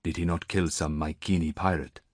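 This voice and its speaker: A man's voice: sits low, flat delivery, moderate pace, no room sound. A man voicing a young runaway nobleman turned miner, polite and cautious. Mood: wary.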